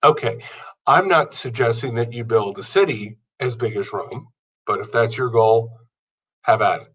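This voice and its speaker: A man's voice: medium pitch, moderate pace, fairly bright tone, slightly rough, recorded from a distance, low-quality recording. A man in his fifties giving an earnest motivational talk, building his points through long lists. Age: 50s. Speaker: podcast host. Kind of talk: motivational monologue.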